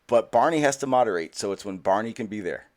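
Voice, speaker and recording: Man hosting, low-pitched, excitable, repeats himself. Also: fast talker. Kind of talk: casual chat.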